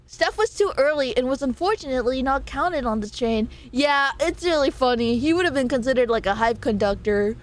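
A young American woman chatting casually with her stream viewers, animated, reading chat aloud and laughing often. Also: high voice, fairly quick, hardly any pauses. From United States.

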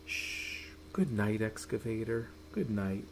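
A man's voice: fairly low pitch, background noise. A middle-aged man reading a rhyming children's picture book aloud in a sing-song way, drawing out words. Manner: sing-song, drawn-out words with soft hushed goodnights. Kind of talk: expressive read-aloud of a rhyming children's bedtime story. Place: small room at home.

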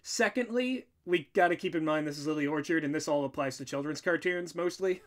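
A man co-hosting a casual commentary chat in an animated, informal way, thinking aloud as he goes. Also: a little high, a little fast. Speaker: co-host, audio drama writer.

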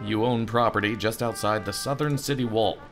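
A man's voice: fairly low pitch, moderate pace, music in the background, lightly acted. A man voicing a grumpy, exasperated male harbor captain who resents paperwork, in an adventure game. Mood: grudging.

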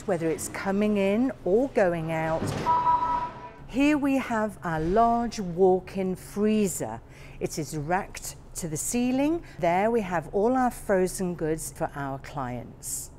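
Slow-talking woman giving a tour. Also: mid-range voice, animated, warm tone, slight background noise. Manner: slow, plain, explanatory. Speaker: warehouse tour guide. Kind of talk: guided tour narration.

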